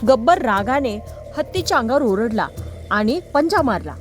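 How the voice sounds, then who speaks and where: A woman narrates a children's story. Her voice sits fairly high, and soft music plays behind her. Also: expressive, moderate pace.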